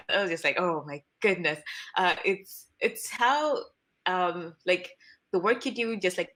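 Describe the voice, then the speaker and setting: A woman's voice: lowish voice, fairly bright tone. Young woman from Zambia, fillers. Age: 20s. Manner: chatty, many fillers and restarts, laughs often. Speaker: guest software engineer. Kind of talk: casual video call interview. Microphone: video call.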